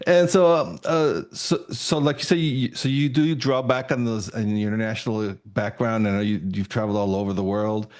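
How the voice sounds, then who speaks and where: A man's voice: lowish voice, steady tone, normal speed, hardly any pauses, darkish tone. Friendly man hosting a podcast. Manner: friendly, many uhs and restarts, laughs often. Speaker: podcast host. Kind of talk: casual interview hosting.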